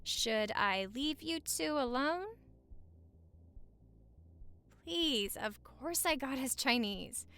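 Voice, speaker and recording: A woman acts out a young newlywed wife in a romantic audio roleplay. Her voice is high and swings widely in pitch as she banters. She comes across as affectionate, playful and teasing, chatting as if to her partner at home. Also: animated, takes it slowly, pushed and bright, clean tone, full character voice. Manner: bantering, teasing, sweet-talking.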